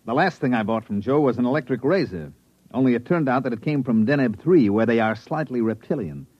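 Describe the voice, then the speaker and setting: A man's voice: mid-range voice, animated, a little fast, dry recording, lightly acted. Man acting a wry surveyor, muffled radio sound. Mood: wry, grumbling. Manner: dry, conversational, wry. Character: wry, skeptical male planetary surveyor telling his misadventure. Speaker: narrator and lead character. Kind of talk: scripted radio drama narration and dialogue. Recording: muffled old radio drama recording.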